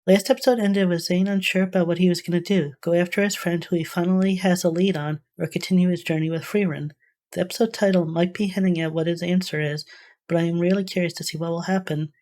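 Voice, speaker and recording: Female reaction host, slightly low voice, informal running commentary, thinking out loud in a tentative way. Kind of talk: casual off-the-cuff commentary.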